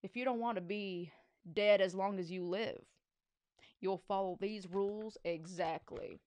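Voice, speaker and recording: A woman reading a storybook aloud, voicing a gloating male school principal in a slow, smug, menacing way. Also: medium pitch, steady tone, a little mellow, close to an everyday voice. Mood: threatening.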